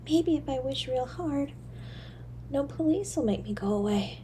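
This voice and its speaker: A woman voicing a frail, frightened little street girl in an audio drama, timid, halting, shivering and tearful. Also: sits high, expressive, dark tone, a little hiss or hum. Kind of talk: dramatic audio story monologue.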